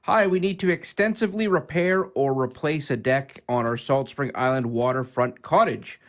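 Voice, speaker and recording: A man's voice: mid-range voice, moderate pace. A man on a radio show reading a listener message aloud as a co-host.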